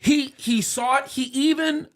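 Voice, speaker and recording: A man's voice: very high-pitched, steady tone, a little slow. A man in a studio talk show, making a heated argument with emphatic restarts and repeated words. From United States, of Egyptian parents.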